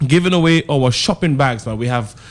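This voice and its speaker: Man, laid-back radio guest, chatty, mid-pitched voice. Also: fairly quick. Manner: relaxed, chatty, says "man" a lot, light laughs. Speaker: guest representing a supermarket. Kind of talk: casual radio chat.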